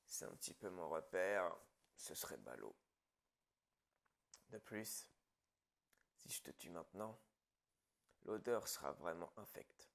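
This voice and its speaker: A man playing a calm psychopathic killer in an ASMR roleplay, speaking lines in a hushed, darkly casual way that slips into whispers. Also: on the low side, vocal fry, heavily acted. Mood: menacing reassurance. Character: calm, menacing male psychopathic killer, falsely reassuring his captive.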